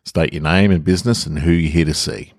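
A man voicing an officious male security guard in a story, brisk and questioning. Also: low voice, fairly even intonation, no echo, studio quality.

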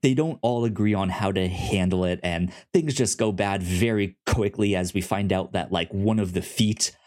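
Low-pitched man, halting and stammering. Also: darkish tone. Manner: halting, stammering on words, repeating syllables. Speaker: podcast co-host. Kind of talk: casual chat recapping a comic series.

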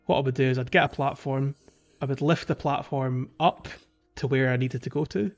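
A man with a mid-pitched voice co-hosts a relaxed gaming podcast. He explains in a halting, self-correcting way, with music playing behind him. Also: highs cut off. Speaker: podcast co-host. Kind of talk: casual video game podcast discussion.

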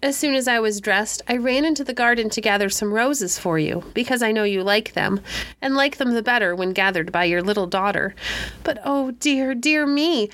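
A woman's voice: on the high side, moderate pace, fairly bright tone, close to an everyday voice. A woman reading a storybook aloud, voicing a young princess who is sobbing and upset. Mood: tearful. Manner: sobbing, plaintive, childlike. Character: tearful little girl, a king's daughter.

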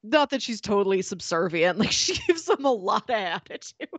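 Woman podcaster, giggly casual chat, mid-pitched voice, real dry recording. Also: big pitch swings, normal speed, no echo. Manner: informal, giggly, peppers talk with "like". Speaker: podcast co-host. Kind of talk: casual chat about a TV show.

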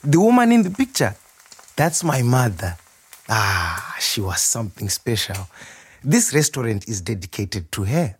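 An actor playing a proud, warm restaurant owner reminiscing in a theatrical storytelling monologue. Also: male voice, mid-range voice, a little slow, breathy edge, heavily acted. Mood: proud, fond. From Zimbabwe. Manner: animated, chuckling, theatrical storyteller. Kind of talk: dramatised monologue, storytelling to a customer.

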